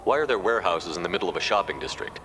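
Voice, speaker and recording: A man's voice: deep voice, lively intonation, rapid-fire, fairly bright tone, a little hiss or hum. A man playing a confident, wry military commander in a video game, with a direct, casual and dry way of talking. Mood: inquisitive, dry.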